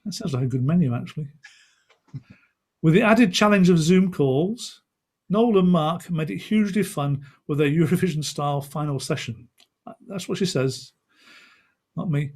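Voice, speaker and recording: Chatty, higher-pitched man hosting online. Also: moderate pace, long pauses, darkish tone, husky. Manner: chatty, rambling. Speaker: event host. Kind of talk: informal online event hosting. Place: indoors on a video call.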